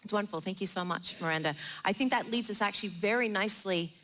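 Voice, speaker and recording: A woman's voice: mid-range voice, fast, fairly bright tone. A woman moderating a live panel discussion on stage in an encouraging, affirming way. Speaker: panel host. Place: live event in a theatre.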